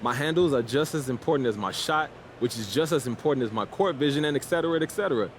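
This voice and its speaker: An actor playing a cocky, defiant young American basketball star, casual and boastful in a sports game's post-game interviews. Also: male voice, mid-range voice, lively intonation, quick pace, slight background noise, lightly acted. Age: young adult. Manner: boastful, self-assured, casual.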